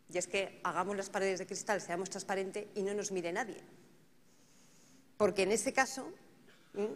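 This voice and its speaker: A woman's voice: medium pitch, slight background noise. A woman moderating a conference panel, thinking aloud and often checking in with tag questions. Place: conference hall. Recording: conference hall reverb, faint ambience.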